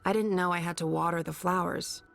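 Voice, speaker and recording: An actress playing a brisk young woman who mutters to herself while gathering potion ingredients. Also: lowish voice, fairly even intonation, creaky, fairly bright tone. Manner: muttering to herself as she works.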